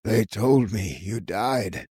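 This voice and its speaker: A man reading an audiobook, voicing a dying elderly cobbler father with a cracked, weak voice and slow, halting speech. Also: fairly low pitch, mellow, husky, no echo, clean studio sound, exaggerated voice. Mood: tender. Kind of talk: audiobook character voice.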